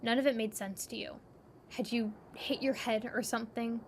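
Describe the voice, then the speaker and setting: A woman's voice: on the high side, steady tone, normal speed, slight background noise. A woman reading scripted second-person narration for an audio drama, over ambient sound.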